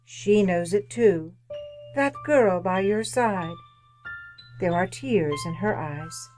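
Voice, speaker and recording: A solemn female spirit in a radio play. She speaks very slowly at a middling pitch, probing and commanding, with music beneath her words. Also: lively intonation, a little mellow. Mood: grave, insistent. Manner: deliberate, questioning, commanding. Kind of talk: old-time radio drama acting.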